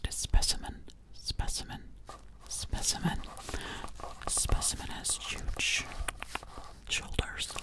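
Woman playing a whispering, sassy alien girl. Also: natural voice. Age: young adult. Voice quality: breathy, close whisper. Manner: repeats short phrases insistently, clipped and deliberate. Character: sassy, bossy alien girl examining a human specimen. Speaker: ASMR performer. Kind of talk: whispered ASMR roleplay. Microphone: close to the mouth.

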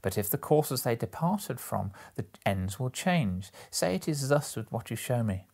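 A man's voice: medium pitch, sing-song, a little slow, vocal fry, darkish tone, voice pushed up, heavily acted. A man voicing an anguished, repentant old miser who pleads dramatically with a spirit in a storybook reading. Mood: anxious, questioning. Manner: dramatic, beseeching delivery.

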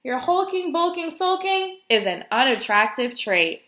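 A woman's voice: high voice, very animated, a little slow, fairly bright tone, echoey room, voice pushed up, exaggerated voice. A woman voicing a blunt male octopus from a storybook, straight-talking and reproachful. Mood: scolding.

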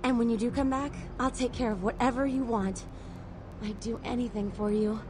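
A woman's voice: on the high side, fairly even intonation, moderate pace, background noise, natural voice. A woman playing an eager, clingy young devotee in a video game, nervous and earnest as she pleads. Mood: eager, devoted.

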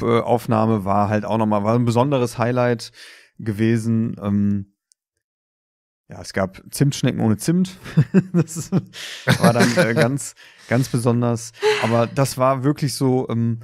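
A man's voice: mid-range voice, darkish tone, dry recording, clean studio sound. A man co-hosting a podcast in casual chat, searching for words as he goes. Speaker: podcast co-host.

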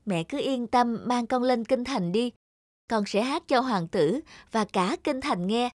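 A woman's voice: a little high, animated, measured pace, dry recording, studio quality. Woman as a sweet, coaxing little pumpkin girl. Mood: hopeful coaxing. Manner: earnest coaxing. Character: young female talking pumpkin, pleading, hopeful and sweet. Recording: music under the voice.

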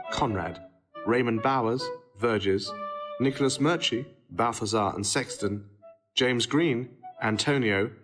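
A male announcer gives a formal scripted reading of credits. His voice is low, and violin music plays clearly behind him.

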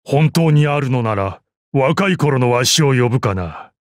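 Man acting a gruff old martial arts master, close and dry. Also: mid-range voice, expressive, measured pace, no room sound, natural voice. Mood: musing, composed. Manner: archaic old-man speech, gruff dignity, dry amusement. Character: proud, battle-hardened old martial arts master assassin. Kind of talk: Japanese mobile game character voice lines.

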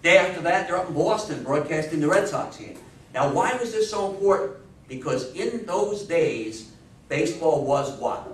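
Male American history lecturer, emphatic, higher-than-average pitch, distant mic with faint background noise. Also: animated, normal speed. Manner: emphatic, asks rhetorical questions. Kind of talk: informal public history talk. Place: small indoor meeting room with seated audience. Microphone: distant camera microphone. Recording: echoey room, far microphone, faint hiss.

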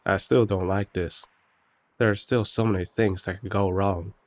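A worried, protective shifter, played by a man in an audio drama. His voice is very low and recorded dry. He sounds earnest and serious. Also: fairly even intonation, moderate pace, darkish tone, no room sound, natural voice. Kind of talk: audio roleplay drama acting.

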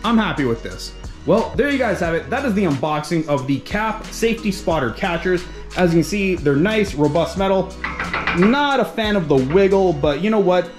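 A fairly high-voiced man from Canada talks casually as he unboxes gear in his home gym. His tone is chatty and unhurried, with the odd restart while his hands are busy. Soft music plays faintly in the background. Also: lively intonation. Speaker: fitness vlogger.

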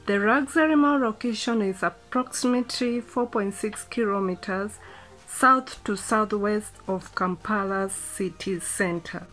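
A young woman narrates a mall tour vlog at a mid pitch. She reads details in a steady listing rhythm and slips into relaxed chat with small fillers. Faint music plays behind her. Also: unhurried, low-quality recording. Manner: reads facts in a listing cadence, then chats casually with fillers. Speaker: vlogger.

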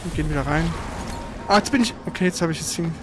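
A man's voice: fairly high pitch, fast talker. A man giving casual, colloquial live commentary as he plays a video game, muttering his reactions. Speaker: gaming streamer, player. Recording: noisy stream with game sound behind.

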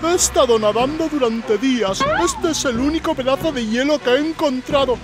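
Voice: sits high, normal speed, natural voice. Actor voicing a big weary polar bear, music behind. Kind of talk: animated children's cartoon dubbing.

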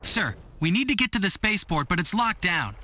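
An actor playing an eager, cocky young tech lieutenant in a video game cutscene, with an excitable manner. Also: male voice, high voice, fairly quick, heavily acted. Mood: reporting. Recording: loud music behind.

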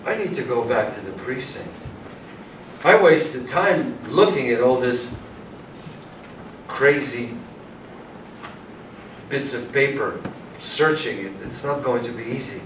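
A man's voice: mid-range voice, lively intonation, takes it slowly, long pauses, a bit bright. A man playing an earnest, self-doubting young detective in a staged play reading. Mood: muttering, frustrated. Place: small indoor performance room with audience. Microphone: far camera. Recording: roomy, distant mic, faint noise.

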